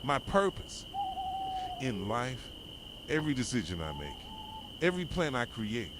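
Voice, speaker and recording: A man playing a possessive, wounded yandere boyfriend in an intense, pleading ASMR roleplay monologue, with a soft voice. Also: mid-range voice, sing-song, unhurried. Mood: pleading, desperate. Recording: noisy track with night crickets and owls. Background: night ambience of crickets, owls and insects.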